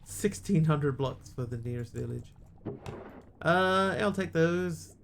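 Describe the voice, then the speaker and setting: A man with a New Zealand accent gives relaxed, chatty commentary as he plays a game on a live stream. His delivery is dry and self-mocking, pitched a little on the high side. A faint hiss runs under the recording. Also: slow, creaky. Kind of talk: casual live-stream commentary while gaming.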